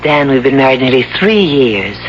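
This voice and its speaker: A woman's voice: a bass voice, sing-song, normal speed. An actress with an American accent playing a reckless, playful young wife in her twenties in a 1970s radio drama. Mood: earnest. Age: 20s. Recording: dull, noisy old radio broadcast.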